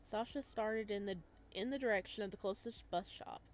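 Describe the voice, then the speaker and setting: A woman's voice: medium pitch, moderate pace. Woman doing playful let's-play commentary. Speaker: gaming video host. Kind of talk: casual let's-play commentary, reading game text aloud.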